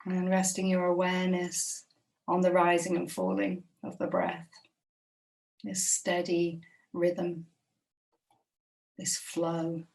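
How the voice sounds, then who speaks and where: Low, creaky-voiced woman guiding meditation. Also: far from the mic, rough recording. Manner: slow, gentle, unhurried with long pauses. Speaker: meditation guide. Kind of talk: guided body scan meditation.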